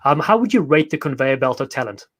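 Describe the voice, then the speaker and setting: A man's voice: mid-range voice, sing-song, quick pace. A man hosting a live online show, chatting upbeat and friendly with his guests. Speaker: host. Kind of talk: casual live show interview.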